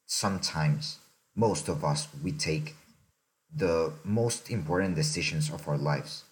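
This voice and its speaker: Very deep-voiced young man. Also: rough recording. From Mexico. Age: young adult. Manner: reflective, unhurried, often tags "right?". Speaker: podcast co-host. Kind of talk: casual podcast chat.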